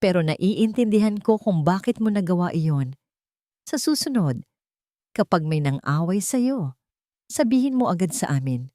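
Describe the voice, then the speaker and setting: A woman voicing a gentle, caring female guardian in a romantic audio story, soft-spoken, calm and reassuring. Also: lowish voice, measured pace, dry recording, studio quality.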